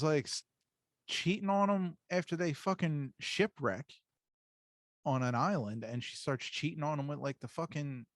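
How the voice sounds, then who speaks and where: A man's voice: on the high side, no room sound. Crude, sweary male podcast co-host, dry sound. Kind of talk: casual pop-culture chat.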